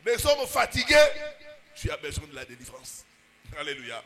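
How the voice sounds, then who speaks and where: A man preaching as a pastor, with emphatic bursts and drawn-out pauses. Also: a little high, sing-song, pushed and bright, a little hiss or hum. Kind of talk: sermon.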